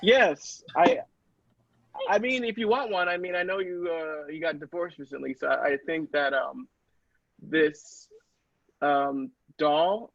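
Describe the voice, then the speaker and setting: Guy playing pushy rambling inventor doctor, kinda high voice, dull dry podcast sound. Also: unhurried, vocal fry, fairly bright tone, no echo. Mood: persuasive, defensive. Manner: persuasive, rambling. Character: salesy male doctor inventor pitching his robots. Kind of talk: improvised comedy sketch.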